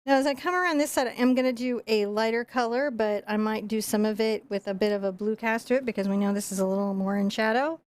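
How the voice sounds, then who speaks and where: A woman's voice: a little high, quick pace, hardly any pauses, a little mellow, no room sound. A woman giving a live painting lesson, chatting and laughing while she explains each brushstroke. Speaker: painting instructor.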